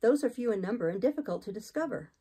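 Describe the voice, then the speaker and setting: A woman's voice: fairly high pitch, lively intonation, fast talker, dark tone, breathy edge. A woman voicing an earnest, troubled young woman in an audiobook, hesitant and pleading as she resists. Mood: guarded. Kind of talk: audiobook character reading.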